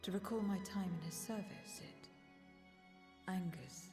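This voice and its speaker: A woman's voice: medium pitch, unhurried, close to an everyday voice. An actress voicing a brooding, blunt female otherworldly creature in a video game, terse, cold and formal. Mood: guarded, bitter. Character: guarded, brooding female voidsent, blunt and proud. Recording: echoing hall with music behind.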